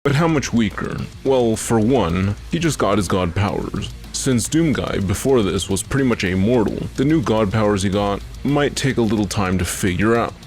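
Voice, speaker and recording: A man with a fairly low voice delivers scripted video essay narration. He presents as the host, with faint music running behind his words. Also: lively intonation, normal speed, few pauses.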